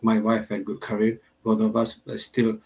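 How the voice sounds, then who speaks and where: A man's voice: on the low side, monotone, normal speed, mellow. A man answering as a guest on an online panel, hesitant with many fillers and restarts. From Indonesia.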